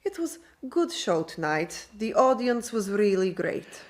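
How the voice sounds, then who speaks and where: An actress playing a confident, flirtatious circus performer in a radio drama. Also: female voice, medium pitch, very animated, measured pace. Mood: upbeat.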